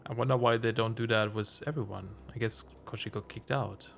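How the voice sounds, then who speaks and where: A slightly hoarse man chatting casually while streaming a game, musing aloud with self-amused asides. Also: fairly low pitch, fairly even intonation, brisk, a bit bright. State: slightly hoarse, losing his voice. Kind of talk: casual let's-play commentary.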